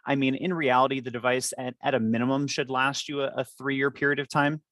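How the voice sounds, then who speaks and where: A man's voice: mid-range voice, flat delivery, fairly quick, a bit bright, dry recording. Man explaining conversationally, dry close sound. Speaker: device company representative and former repair technician.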